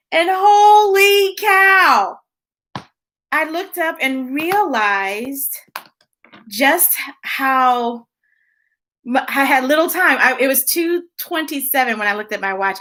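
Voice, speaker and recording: A woman's voice: high-pitched, pushed and bright. An American woman chatting casually and playfully to young viewers as a children's story time host, stretching words for emphasis.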